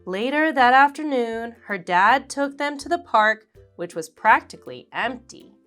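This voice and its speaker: Slow, animated storybook reader; woman, bright tone. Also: on the high side, soft background music. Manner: slow, expressive, animated character voices. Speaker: children's book reader. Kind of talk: read-aloud storybook narration.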